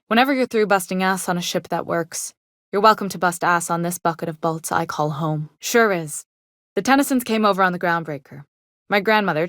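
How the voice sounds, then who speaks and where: Actress doing a lady starship captain from a video game, lowish voice, plain-spoken n wry, practical engineer type, very dry sound. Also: fairly bright tone, no room sound, lightly acted. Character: pragmatic, protective, frank starship captain and engineer, a woman.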